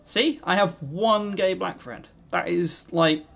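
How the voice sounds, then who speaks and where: A man's voice: high-pitched, slow, vocal fry, a bit bright. A man co-hosting a podcast in casual, unscripted chat, rambling and jokey, with some swearing. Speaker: podcast co-host. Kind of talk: casual unscripted visual novel review chat.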